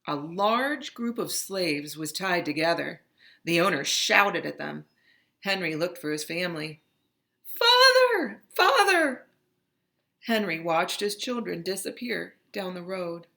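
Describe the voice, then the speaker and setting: Bright-voiced woman reading a storybook slowly. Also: on the low side, vocal fry. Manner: expressive, dramatic reading. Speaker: storybook reader. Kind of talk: read-aloud narration of a children's picture book.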